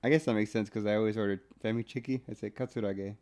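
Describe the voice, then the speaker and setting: A young man with a fairly low voice talks in a relaxed podcast chat. He is easygoing and lets his sentences trail off. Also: fast. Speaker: podcast co-host. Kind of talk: casual chat.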